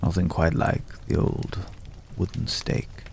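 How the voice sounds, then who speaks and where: A man playing a courteous, world-weary former vampire hunter in an intimate ASMR roleplay, speaking in a measured, hushed way with polite, hesitant pauses. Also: a little hiss or hum, natural voice. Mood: wry, musing.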